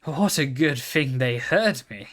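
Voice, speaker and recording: A man's voice: medium pitch, lively intonation, slow, fairly bright tone. A man voicing a polite, hopeful little brown pony in a storybook, speaking with earnest, formal courtesy. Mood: pleased, excited.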